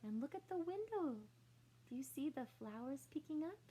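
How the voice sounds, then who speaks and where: Female teacher, fairly high voice, storybook read-aloud with explanatory asides to kids, faint hum behind. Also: very animated, normal speed, warm tone, low-quality recording. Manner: explanatory, inviting questions to children. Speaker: teacher reading aloud to children.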